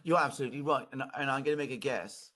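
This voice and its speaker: A man's voice: medium pitch, fairly quick, bright, forceful tone. A man in a casual political discussion, recorded faintly with other voices behind him. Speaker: political commentator.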